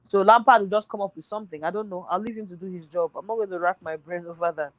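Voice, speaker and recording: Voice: medium pitch, fast talker, dry recording, rough recording. A speaker talking football on a casual podcast, thinking aloud with lots of fillers, voice nearly worn out. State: voice tiring, nearly gone.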